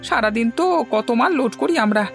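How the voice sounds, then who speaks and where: Woman voicing a fast, creaky, aggrieved village labourer. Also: on the high side, expressive, soft background music. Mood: weary complaint. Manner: complaining, indignant. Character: aggrieved, hard-worked village labourer man. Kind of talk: cartoon dialogue.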